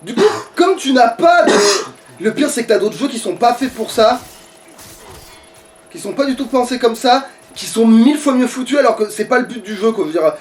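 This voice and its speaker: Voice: mid-range voice, expressive, normal speed, darkish tone. A gamer giving casual, sweary and grumbling commentary during a let's play. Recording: distant mic with background noise.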